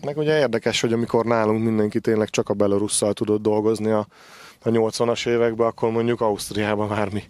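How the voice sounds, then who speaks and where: A man's voice: on the low side, fairly even intonation, darkish tone, no room sound, studio quality. A man in his forties giving an unscripted sales walkthrough as a machinery dealer outdoors, with hesitant, repetitive phrasing. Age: 40s. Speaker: farm machinery dealer presenting stock. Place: outdoor gravel machinery yard. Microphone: handheld microphone with windscreen.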